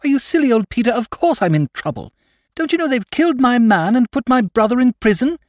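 A man voicing a distraught, fragile young aristocratic woman with a shrill, near-hysterical way of pleading. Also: lively intonation, fairly quick, dry recording, studio quality. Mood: bitter, weary.

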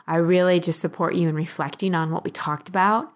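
A woman's voice: lowish voice, steady tone, a little fast. A woman hosting a coaching podcast, talking in an encouraging, instructive manner as she explains. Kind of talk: life coaching talk, explanatory.

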